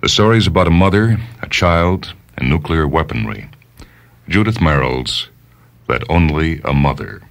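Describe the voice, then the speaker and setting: A man's voice: a bass voice, lively intonation, moderate pace. A man hosting a radio show, reading a scripted introduction to a story.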